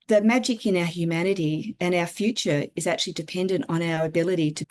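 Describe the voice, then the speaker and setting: Lowish Australian woman, thoughtful guest. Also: a little mellow. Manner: thoughtful, earnest, explains at length with asides. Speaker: interview guest. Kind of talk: casual conversational interview.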